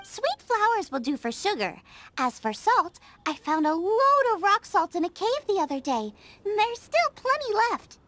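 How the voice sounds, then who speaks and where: A woman voicing a bubbly young female chef in a video game dub, eager, food-obsessed and quick to get excited. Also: extremely high pitch, dry recording, exaggerated voice. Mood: enthusiastic. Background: soft game music.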